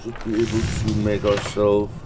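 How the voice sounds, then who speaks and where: A man's voice: fairly low pitch, monotone, normal speed. A man giving casual gameplay commentary, thinking aloud in hesitant mutters. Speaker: let's play host. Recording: constant background noise and game ambience.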